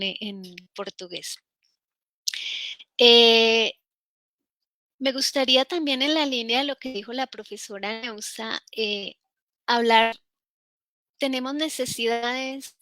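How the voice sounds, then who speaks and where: A woman professor giving a formal talk on a symposium panel, slow and measured, with many fillers and restarts. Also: on the high side, sharp tone, no room sound.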